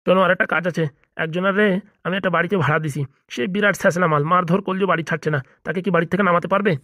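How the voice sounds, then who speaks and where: A man's voice: high-pitched, steady tone, racing, bright, forceful tone, no room sound, studio quality. A man voicing a blunt, self-important small-time gangster boss in a comedy cartoon, bossy and businesslike with a Bengali accent. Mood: boastful, firm. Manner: bossy, businesslike, repeatedly seeking agreement.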